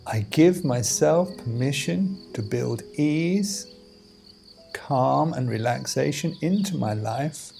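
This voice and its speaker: Slow-talking man guiding a meditation over music. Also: mid-range voice, expressive, vocal fry, mellow. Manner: measured, deliberate phrasing with pauses. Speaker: meditation teacher. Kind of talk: guided meditation instruction. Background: music and outdoor insect sounds.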